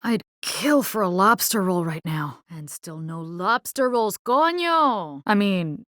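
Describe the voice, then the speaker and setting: A tough, wisecracking young woman from a vampire-fighting video game. Her voice sits at a medium pitch. She is brash and teasing, peppering her lines with slang, in a very dry studio sound. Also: expressive, takes it slowly, a bit bright, no echo. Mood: weary, sardonic.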